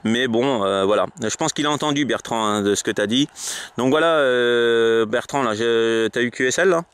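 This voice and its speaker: A man with a clean, smooth voice at a middle pitch. He talks casually like a radio operator passing messages along, often pausing and repeating himself. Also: a bit bright. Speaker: amateur radio operator relaying between contacts. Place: outdoors.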